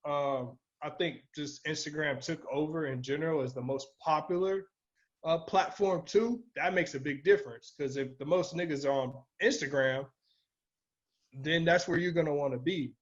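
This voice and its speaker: A man's voice: a little high, moderate pace, lo-fi sound. A man co-hosting a podcast in casual, slangy, profane talk, putting his opinions bluntly. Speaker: podcast co-host. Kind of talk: casual chat, podcast discussion.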